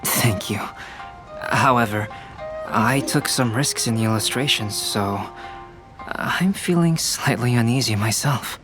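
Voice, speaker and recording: A man playing a calm, reserved young scholar in a video game, speaking in a measured, formal, thoughtful way. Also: medium pitch, music in the background, lightly acted. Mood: modest, uneasy. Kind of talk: video game character voice acting.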